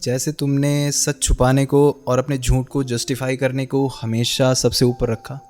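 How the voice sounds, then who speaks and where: A man's voice: medium pitch, level tone, measured pace, a little mellow, soft background music, close to an everyday voice. An actor as a reserved, principled man. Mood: bitter, accusing. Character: reserved, principled male interviewer, quietly wounded former lover. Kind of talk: radio drama acting.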